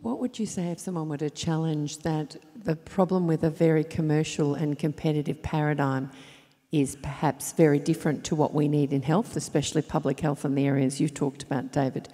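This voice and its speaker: Low-voiced woman questioning from the floor. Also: fairly even intonation, fairly quick, mellow. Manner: probing, insistent. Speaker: audience member asking a question. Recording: reverberant hall.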